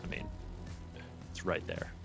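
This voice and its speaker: A man's voice: measured pace, fairly bright tone, soft background music. A man hosting a game livestream, reading chat and cheering the player on in playful casual talk. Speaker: stream host reading chat.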